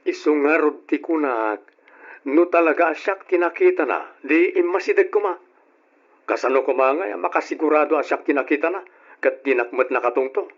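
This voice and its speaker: Casting: defensive, scolding Ilocano family man for old radio drama; higher-pitched voice, dull recording. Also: moderate pace. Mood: defensive, irritated. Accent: Filipino, Ilocano. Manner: scolding, insistent. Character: bossy, defensive husband and father, easily irritated.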